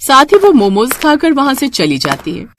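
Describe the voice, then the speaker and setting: A woman's voice: high voice, wildly swooping pitch, normal speed, noisy background. A woman narrating a scripted moral story for a cartoon.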